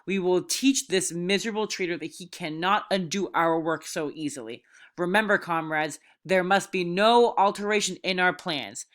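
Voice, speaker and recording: A woman voicing a commanding, angry boar who makes loud, vengeful speeches to farm animals in a storybook read-aloud. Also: fairly low pitch, moderate pace, close to an everyday voice. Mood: commanding, rallying.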